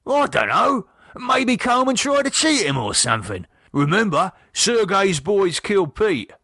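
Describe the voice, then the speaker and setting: A man voicing an anxious working-class husband, speaking in nervous, halting, pleading bursts. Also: high voice, very animated, gravelly, pushed and bright, dry recording, clean studio sound, voice pushed up, exaggerated voice. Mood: worried.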